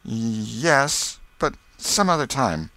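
Man putting on a timid little schoolgirl, raised pitch. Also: sing-song, slow pace, pushed and bright, no echo, heavily acted. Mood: hesitant. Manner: timid, hesitant. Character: shy, sweet, naive little schoolgirl.

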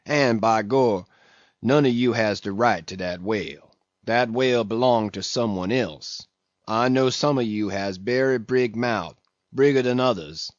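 A man's voice: on the low side, no room sound, close to an everyday voice. A man doing a mumbling old ship's cook. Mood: preaching. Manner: mumbling, slow sermonizing. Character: old limping ship's cook preaching, sullen. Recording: dull lo-fi recording.

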